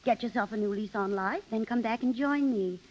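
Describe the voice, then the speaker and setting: A woman's voice: on the high side, fairly quick, close to an everyday voice. An actress playing a vain, flirtatious American wife in her thirties, gushing and affected, in 1930s radio drama style. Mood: condescending. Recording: dull 1930s radio broadcast recording.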